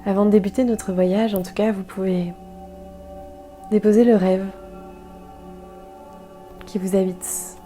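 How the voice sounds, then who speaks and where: A woman guiding a yoga nidra meditation in a soft, calm, soothing way, leaving gentle pauses. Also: medium pitch, soft background music. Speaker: guided meditation instructor.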